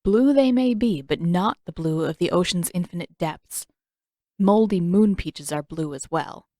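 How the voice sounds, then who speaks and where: A woman's voice: lowish voice, a little slow, warm tone, no echo, studio quality, close to an everyday voice. A woman voicing an older female fortune teller who speaks in grand, florid, disdainful pronouncements. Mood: haughty disdain. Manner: lofty, florid, solemn pronouncements.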